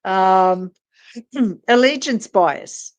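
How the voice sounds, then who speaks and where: A woman's voice: medium pitch, extremely slow. A woman co-hosting a casual livestream, chatting and making announcements. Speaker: co-host.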